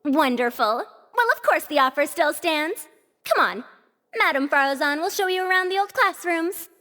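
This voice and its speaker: High-voiced, bossy senior academic. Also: female voice, animated, moderate pace, sharp tone. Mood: delighted. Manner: lecturing, self-assured, theatrical. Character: bossy, proud female senior academic scolding juniors. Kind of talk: video game voice acting.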